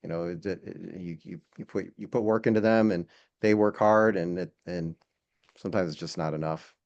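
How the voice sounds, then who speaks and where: Low-voiced man talking haltingly. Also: fairly even intonation, gravelly, darkish tone. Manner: hesitant, halting, repeats words. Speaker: podcast guest, air traffic control instructor. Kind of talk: casual interview conversation. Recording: dull, lo-fi sound.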